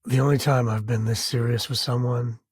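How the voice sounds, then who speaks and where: A man's voice: mid-range voice, level tone, fairly quick, husky, no echo, studio quality, lightly acted. An actor playing an easygoing, wry space-frontier ranger and protective father in a video game, with folksy, informal speech. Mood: serious, casual.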